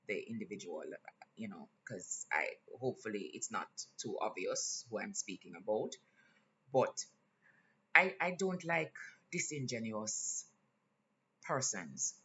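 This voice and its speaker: A man with a Jamaican accent talking in a casual vlog, earnest and candid, pausing often with fillers. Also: mid-range voice, bright, forceful tone. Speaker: vlogger. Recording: slightly dull lo-fi sound, faint hiss.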